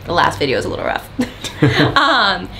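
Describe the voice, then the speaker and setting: Bubbly american girl vlogging, bright tone, little bit of hiss in the background. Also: lowish voice, big pitch swings, normal speed, vocal fry. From United States. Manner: upbeat, chatty, addresses viewers as guys. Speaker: travel vlogger. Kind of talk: casual vlog chat.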